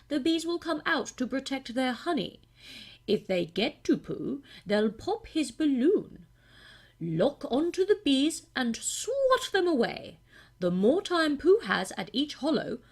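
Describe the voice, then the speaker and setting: A woman's voice: a little high, slightly rough, pitched above the performer's own voice, exaggerated voice. A woman voicing a pompous, wordy owl who gives stately instructions in a children's storybook game.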